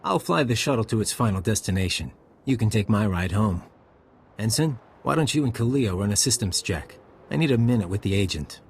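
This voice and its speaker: An actor playing a cocky, ruthless spy handler in a video game, speaking in a wry, brisk, commanding way. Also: male voice, lowish voice, expressive, a little hiss or hum.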